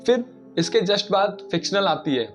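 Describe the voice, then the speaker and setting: A man's voice: high-pitched, lively intonation, normal speed. A man giving casual, argumentative explanatory commentary, putting rhetorical questions to his listeners. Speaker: video commentator. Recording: dull lo-fi audio, music behind. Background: background music.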